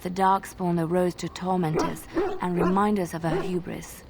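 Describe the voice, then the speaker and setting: Fantasy game bard: young woman, devout, measured and solemn, on the lower side. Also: flat delivery, creaky, heavy background noise. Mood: solemn storytelling. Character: earnest, devout young female bard telling sacred legends. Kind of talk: dramatic storytelling in a fantasy video game.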